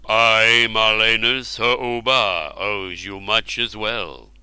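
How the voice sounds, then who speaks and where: A man playing a slow, stern mighty king. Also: lowish voice, steady tone, pushed and bright, clean tone, no room sound, full character voice. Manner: slow, weighty and measured.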